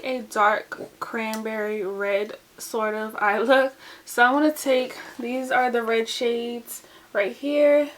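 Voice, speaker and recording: Young woman, slightly high-pitched, chatty and casual, voice a bit groggy and dry, talking close to the camera. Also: fairly bright tone, a little hiss or hum. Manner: chatty, uses lots of "like" and "um". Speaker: beauty vlogger. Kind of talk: casual makeup tutorial chat.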